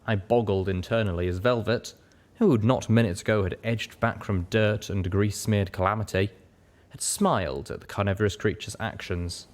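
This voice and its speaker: A man's voice: on the low side, steady tone, normal speed. A man narrating a first-person fantasy story as a dramatic audiobook reader.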